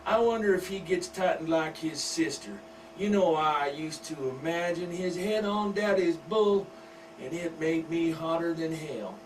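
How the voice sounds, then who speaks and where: A man voicing a crude, boastful middle-aged country wife with a loud, brassy drawl. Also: on the high side, unhurried, voice pushed up, lightly acted. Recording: distant mic with faint hum.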